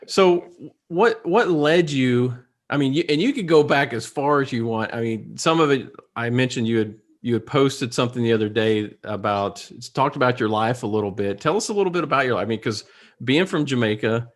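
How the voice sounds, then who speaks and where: A man's voice: mid-range voice, fairly quick. A man hosting a podcast, asking questions casually and loosely, with friendly restarts. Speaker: podcast host. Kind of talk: casual interview.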